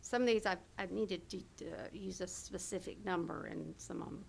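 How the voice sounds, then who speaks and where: A woman with a hoarse, heavily creaky voice walks a county committee through budget lines, pausing on fillers and correcting herself as she goes. A faint hiss sits under her voice. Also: lowish voice, a little mellow. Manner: explains figures with fillers and self-corrections. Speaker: county health department official presenting budget. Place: county government meeting room.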